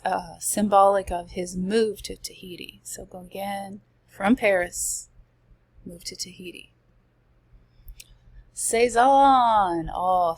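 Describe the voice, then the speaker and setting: A woman who is losing her voice, presenting art slides in a chatty way, reading passages and adding casual asides. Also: lowish voice. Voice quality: gravelly. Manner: chatty, reads passages then comments with asides.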